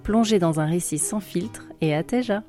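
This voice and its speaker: A woman's voice: lowish voice, normal speed, dark tone. A woman from France reading a scripted podcast introduction as the host. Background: plucked guitar music.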